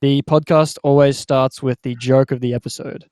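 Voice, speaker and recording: Male podcast host, mid-range pitch, casual conversation, very little room sound. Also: steady tone, normal speed, a little mellow, no echo, studio quality.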